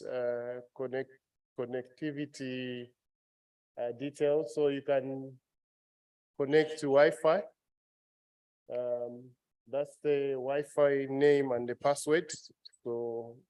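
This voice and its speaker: Halting man running a meeting. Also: mid-range voice, dark tone, clean tone, poor audio. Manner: halting, with many fillers and restarts. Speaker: meeting facilitator. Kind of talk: meeting announcements.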